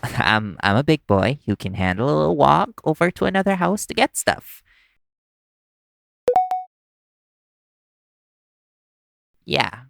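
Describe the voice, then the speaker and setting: A man acting in an audio roleplay as a nervous, caring young vampire's human partner, speaking in a halting, stammering way. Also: mid-range voice, expressive, gravelly, fairly bright tone, slight background noise. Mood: reassuring, nervous.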